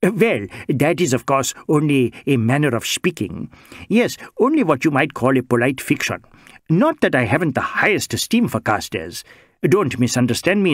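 A man's voice: fairly high pitch, no room sound, clean studio sound. A man voicing an anxious, wordy middle-aged male social worker, pleading and flustered.

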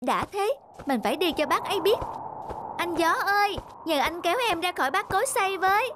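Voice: very high voice, animated, fairly quick, background noise. An actor voicing a naive, restless, childlike little windmill fan in a cartoon, earnest and childish. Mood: indignant, scheming. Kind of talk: cartoon acting.

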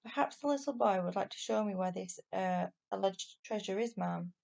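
Lady doing a village copper in a kids story, stern but kind, plain colloquial talk, creaky voice. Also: mid-range voice, normal speed, darkish tone, natural voice. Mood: sceptical. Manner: colloquial, working-class grammar. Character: stern but kindly male village policeman. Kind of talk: children's storybook reading.

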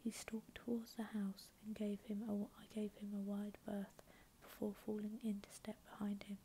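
A woman reading a novel aloud in a soft, unvoiced ASMR whisper. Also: medium pitch, monotone, normal speed, a little hiss or hum, rough recording. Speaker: narrator.

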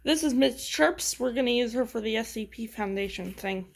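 A woman giving a casual, halting show-and-tell at home into a handheld phone, often restarting her phrases. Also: fairly high pitch, creaky. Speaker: amateur video creator and character voicer. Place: room at home.

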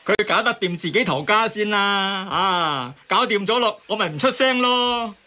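A man's voice: high voice, lively intonation, normal speed, sharp tone. An actor playing a hot-tempered, griping taxi driver and family man in a radio drama, with a Hong Kong Cantonese accent. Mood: annoyed, griping. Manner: griping, exclamatory. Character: grumbling male taxi driver, hot-tempered husband and father.

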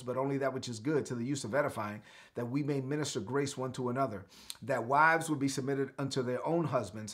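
A middle-aged man from Brooklyn preaches a daily live-streamed sermon at a medium pitch. He talks earnestly and declaratively and repeats key phrases so viewers can say them back. He is seated in a home studio, facing the camera. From Brooklyn, New York. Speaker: pastor. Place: home studio with lit shelves.

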